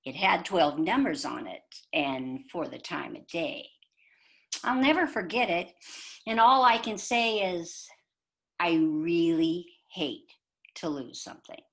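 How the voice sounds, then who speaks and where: A woman's voice: lowish voice, animated, slow, vocal fry, a bit bright. A woman telling a joke and reciting a poem expressively for a community readers theater show over a video call.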